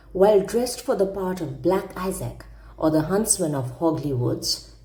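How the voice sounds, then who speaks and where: A woman storyteller with a lowish, mellow voice reads a classic tale aloud. Her delivery is theatrical and emphatic, swinging widely in pitch. The sound is roomy and echoing. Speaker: storyteller, audiobook narrator. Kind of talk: expressive read-aloud narration of a classic humorous story.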